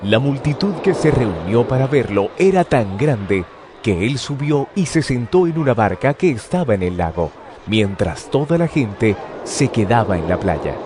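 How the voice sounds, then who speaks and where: A man's voice: mid-range voice, very animated, a little slow. A man reading scripted narration for a dramatized audio Bible. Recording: noisy outdoor ambience effects behind. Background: outdoor sound effects.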